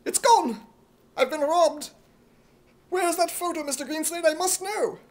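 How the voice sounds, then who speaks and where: Someone doing a shrill, excitable gullible hero. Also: unhurried. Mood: frantic. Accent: imitation British. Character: excitable, loud, gullible male hero, desperate and naive. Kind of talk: 1950s British radio comedy acting.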